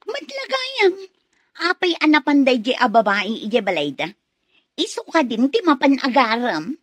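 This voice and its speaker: An actress playing a nosy, jealous village gossip in a radio drama, with an exaggerated, prying delivery. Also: female voice, high voice, very animated, a little slow, no echo, full character voice. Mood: scheming, catty.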